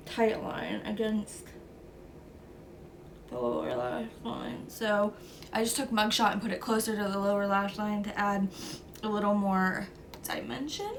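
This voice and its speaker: A young woman talks through a makeup look at an unhurried pace, her voice stuffy and nasal from a cold. She speaks in a mid-range voice, easy and conversational, with the odd "um" as she goes. A faint background hiss sits under the voice. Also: mid-range voice. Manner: easygoing step-by-step talk with "um" and "like". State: stuffy nose, sniffling from a cold. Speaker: beauty vlogger. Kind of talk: makeup tutorial narration.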